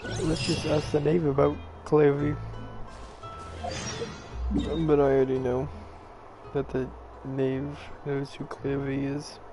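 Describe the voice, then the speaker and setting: A man's voice: fairly high pitch, completely flat delivery, takes it slowly, pauses often. A man giving casual commentary as he plays a game, musing to himself. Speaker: game streamer. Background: game music.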